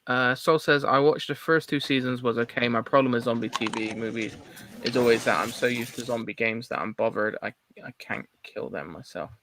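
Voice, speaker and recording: A man's voice: medium pitch, brisk, bright, forceful tone. A man with a Bristolian accent hosting a casual livestream, reading out chat messages and chatting loosely. He swears casually and can't roll his R's. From Bristol, England.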